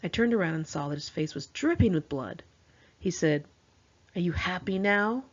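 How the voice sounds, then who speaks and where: A woman's voice: fairly low pitch, animated, normal speed, lo-fi sound. An American woman reads her own memoir aloud in a conversational, slangy, informal way, acting out the dialogue as she goes. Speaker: author reading own memoir. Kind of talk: informal first-person memoir narration.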